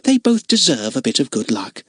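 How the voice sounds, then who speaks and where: A man's voice: medium pitch, big pitch swings, moderate pace, breathy edge, no room sound. A man voicing a kindly motherly woman in a storybook reading. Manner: gentle and kindly. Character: warm motherly woman running a caravan home.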